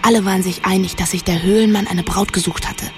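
A woman's voice: medium pitch, fairly even intonation, quick pace, lightly acted. An actress playing a headstrong, secretive young woman in a German radio drama, telling things bluntly and conspiratorially. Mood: conspiratorial storytelling. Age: young adult.

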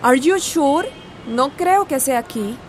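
High-voiced, cautious worried friend. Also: female voice, lively intonation, slow pace, background noise. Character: cautious, worried friend who mixes in English. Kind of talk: radio drama dialogue.

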